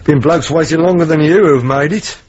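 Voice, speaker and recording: An actor playing a casual, laid-back police detective in a radio drama, with a British accent. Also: male voice, a little high, brisk, darkish tone, slightly rough. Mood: casual, consoling. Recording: dull, lo-fi 1970s radio recording.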